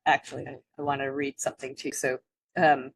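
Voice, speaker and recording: A woman co-hosting a spiritual talk, speaking haltingly with many restarts and soft laughs. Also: a bass voice, little pitch movement, vocal fry, breathy edge, dry recording, poor audio. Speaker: co-host. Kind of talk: spiritual teaching chat.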